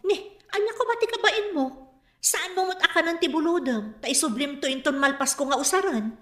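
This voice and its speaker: A woman's voice: high voice, fairly quick, roomy sound, natural voice. A woman with a Filipino accent playing a defensive, spendthrift mother who argues dramatically in a comedy radio drama. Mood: bossy, excuse-making. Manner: argumentative, dramatic.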